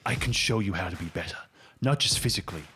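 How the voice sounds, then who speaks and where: A man's voice: sits low, lively intonation, fast, noisy background. A man playing a sentimental, earnest gym leader who gives solemn guidance in an improvised game. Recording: music playing under the voice.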